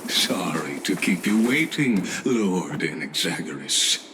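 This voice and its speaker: A slow, very deep-voiced courtly envoy. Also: male voice, lively intonation, music in the background. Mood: courtly, measured. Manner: ceremonious, unctuously polite, deliberate. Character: courtly, inscrutable otherworldly male envoy of the elders. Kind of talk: dramatic fantasy video game acting.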